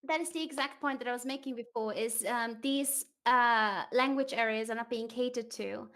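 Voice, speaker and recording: Woman from Austria, higher voice, animated and informal company livestream talk. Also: normal speed. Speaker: company communication manager, livestream participant. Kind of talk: casual chat.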